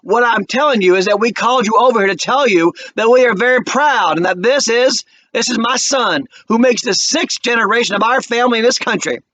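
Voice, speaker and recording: Man reading, plays a proud, halting father, choked up; high voice. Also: pushed and bright, no echo, rough recording. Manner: halting, earnest, groping speech.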